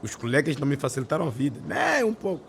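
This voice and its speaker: A man's voice: a little high, wildly swooping pitch, fast, gravelly, slight background noise, exaggerated voice. An actor playing a worldly, resigned senior teacher in a radio drama, familiar and a little patronising with his colleague. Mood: amused, advising.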